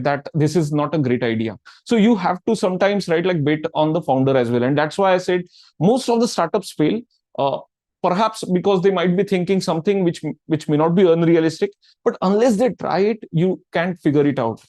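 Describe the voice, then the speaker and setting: A man's voice: on the high side, mellow, no room sound. A middle-aged man answering audience questions in a casual talk, filling his sentences with "right, like" and "I mean". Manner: filler-heavy, repeats "right, like". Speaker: guest speaker answering questions.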